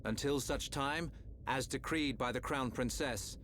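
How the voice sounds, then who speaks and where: Composed royal regent male actor, mid-pitched, formal proclamation style for a game. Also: fairly bright tone, a little hiss or hum, lightly acted. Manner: official, measured. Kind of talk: video game cutscene acting, formal address.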